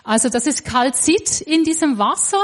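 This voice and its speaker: High-voiced woman preaching in short bursts. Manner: short phrases paused for translation, lively. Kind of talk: sermon with live interpreter.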